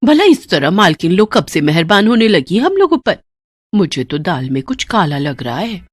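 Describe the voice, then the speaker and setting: A woman's voice: on the low side, big pitch swings, moderate pace, no room sound, studio quality. A woman voicing a nosy, suspicious neighbourhood gossip in a cartoon story, exclaiming and conspiratorial. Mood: gossipy, suspicious. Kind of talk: Hindi cartoon story dialogue.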